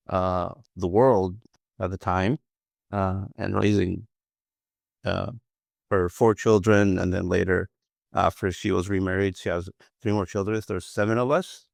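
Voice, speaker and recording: A man's voice: low-pitched, creaky, no room sound, clean studio sound. A middle-aged man from Iraq, a guest answering interview questions in a hesitant way, with many fillers and restarts. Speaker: guest, analytics professional.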